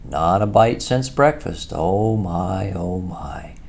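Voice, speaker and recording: A man's voice: low voice, lively intonation, slow pace, a little mellow, exaggerated voice. A man voicing a hungry, grumpy male mole in a storybook reading. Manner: grumbling, talks with mouth full.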